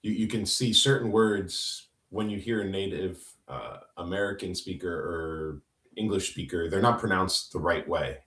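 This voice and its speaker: Podcast host guy, really low voice, sounds kinda far from the mic, hypes up his guest. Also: normal speed, fairly bright tone. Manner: enthusiastic, affirming, "right?" tag questions. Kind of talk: casual interview chat. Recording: echoey room, voice off-mic.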